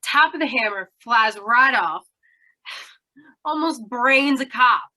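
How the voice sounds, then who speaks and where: A woman playing a tough, suspicious aging carnival woman in radio noir style, brassy and slangy with a sharp voice. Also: on the high side, animated, slow pace, full character voice. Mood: gruff, wary. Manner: brassy, clipped slangy talk. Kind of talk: 1940s radio noir acting.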